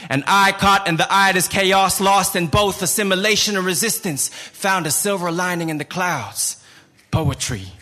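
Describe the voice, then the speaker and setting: A man with a Filipino accent, Tagalog his first language, performing spoken word poetry at a live slam with a forceful, rhythmic delivery that swells into shouts. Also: sits high, normal speed, faint music behind. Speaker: slam poet. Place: live venue before an audience.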